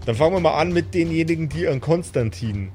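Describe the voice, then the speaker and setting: A man with a slightly high voice runs a tabletop game. He tells the story dramatically and deliberately, then drops into casual asides. Soft music plays behind him. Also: expressive. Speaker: game master. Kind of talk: live improvised tabletop game narration. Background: background music.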